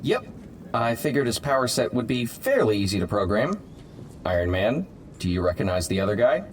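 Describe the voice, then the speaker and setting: A man acts as a touchy superhero scientist in an audio drama. He is earnest and defensive, with a lively, swinging pitch over faint noise. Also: normal speed. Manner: defensive, explanatory.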